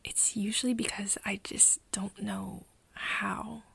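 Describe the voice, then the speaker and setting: A woman in an ASMR roleplay playing a nervous, caring friend, speaking softly and haltingly and often slipping into breathy whispers. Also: medium pitch, level tone, no echo, full character voice. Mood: anxious, confessional. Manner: hesitant, halting, with pauses and sighs. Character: nervous, caring young woman confiding in her vampire friend. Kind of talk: ASMR roleplay, intimate scripted acting. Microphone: close microphone, dry room.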